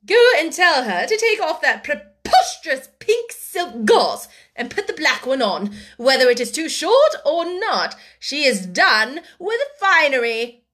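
A woman's voice: high-pitched, big pitch swings, a little slow, fairly bright tone, voice pushed up, exaggerated voice. A woman reading a storybook, voicing a furious, cruel schoolmistress with a fierce, imperious, biting manner. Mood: fierce, bitter.

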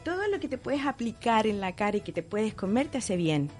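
A woman's voice: a little high, big pitch swings, fairly quick, a little mellow, faint music behind. Chilean woman explaining with enthusiasm. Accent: Chilean Spanish. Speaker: guest entrepreneur. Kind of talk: casual radio interview.

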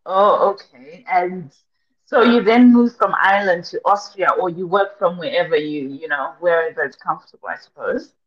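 A woman's voice: lowish voice, moderate pace. A woman hosting a podcast interview, chatty and loose in her phrasing. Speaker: podcast host. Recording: dull lo-fi audio.

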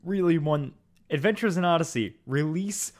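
A man's voice: a little high, normal speed. A young man co-hosting a casual podcast, chatty and joking. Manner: chatty, with frequent ums and jokes. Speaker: podcast co-host.

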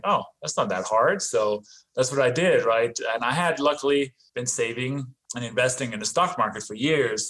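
A man's voice: mid-range voice, a bit bright, rough recording. A man in his forties from Denmark with a slight Danish accent, talking casually as a podcast guest, full of "you know" and "right?". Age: 40s. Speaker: podcast guest, real estate investor. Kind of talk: casual interview talk.

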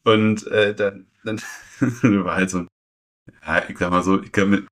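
A man in his twenties chatting casually as a podcast co-host, telling stories in a halting, rambling way. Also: on the low side, steady tone, a bit bright. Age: 20s. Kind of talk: casual chat.

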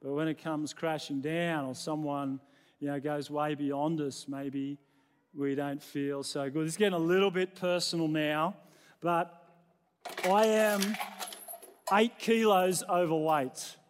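A man's voice: a little high, fairly even intonation, a little slow. An Australian man, a middle-aged pastor preaching in a conversational, self-deprecating manner, building points through repeated phrases. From New South Wales, Australia. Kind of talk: sermon to a congregation. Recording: long reverberant hall sound.

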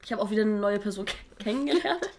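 A woman's voice: medium pitch, expressive, rapid-fire. A young woman in her twenties co-hosting a casual chat podcast, slangy and chatty, laughing often, talking while she has a cold. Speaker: podcast co-host.

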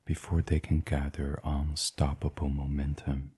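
A man gently and soothingly reading a guided sleep meditation in a breathy, husky voice, as the host. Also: a bass voice, monotone, measured pace.

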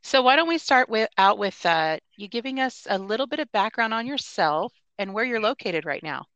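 A woman's voice: fairly high pitch, sing-song, normal speed, a bit bright, dry recording, muffled recording. A woman from the United States hosting a podcast interview, upbeat and chatty. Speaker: podcast host.

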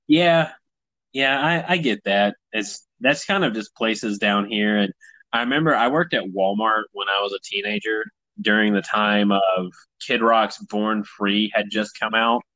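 A man's voice: fairly low pitch, a little slow, pushed and bright, no echo, low-quality recording. A thirty-year-old man from Tennessee with a Southern US accent, chatting casually as a podcast host, wry and sweary, acting out what people say. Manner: wry, sweary, acts out quoted remarks. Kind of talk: casual comedic chat.

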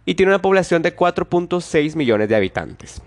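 A man's voice: on the high side, very animated, fairly quick. A man with a stuffy nose from a cold, hosting a solo history podcast in a casual way that often adds little tag questions. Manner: casual, frequent tag questions and small restarts. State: nasal congestion from a cold. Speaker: podcast host.

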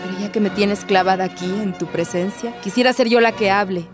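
An actress playing a devout young maiden in a radio drama, proud and defiant, with formal, dignified speech. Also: female voice, mid-range voice, a little fast, lightly acted. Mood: composed, challenging. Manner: formal, dignified, firm retorts. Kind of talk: theatrical radio drama acting. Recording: music behind the voice.

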